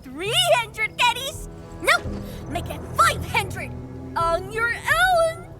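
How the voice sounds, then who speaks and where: A woman playing a boastful, excitable young catgirl in a video game, bratty, taunting and full of exclamations. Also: very high-pitched, slow, over loud music, exaggerated voice. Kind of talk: animated video game voice acting.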